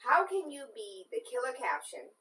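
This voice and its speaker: A woman hosting a casual talk show, teasing her guest in an animated way. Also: on the high side, moderate pace. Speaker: host. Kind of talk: casual chat. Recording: roomy, mic set far off.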